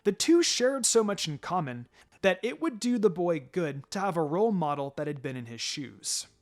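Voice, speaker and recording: Animated man narrating a story. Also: sits high, moderate pace. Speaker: fan fiction narrator. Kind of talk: dramatic scripted story narration. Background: music.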